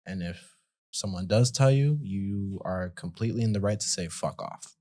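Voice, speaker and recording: A young man from Montreal hosting a podcast, playful and animated in casual chat. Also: sits low, moderate pace. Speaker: podcast host.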